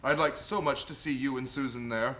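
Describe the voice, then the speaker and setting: A man's voice: mid-range voice, fairly even intonation, brisk, sharp tone, natural voice, poor audio. A man playing a kindly, heartfelt old Santa Claus in a radio play. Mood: glad, warm.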